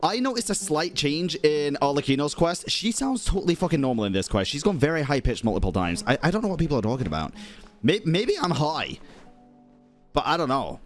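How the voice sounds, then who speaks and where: A man's voice: on the high side, sing-song, a little fast, soft background music. A man doing casual livestream game commentary, joking and muttering offhand asides with some swearing. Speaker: game streamer.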